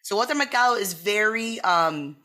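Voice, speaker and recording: A woman's voice: medium pitch, lively intonation, moderate pace, sharp tone. A woman comedian from Miami, talking casually as a podcast guest, brash and joking with plenty of swearing. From Miami, USA. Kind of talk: casual chat.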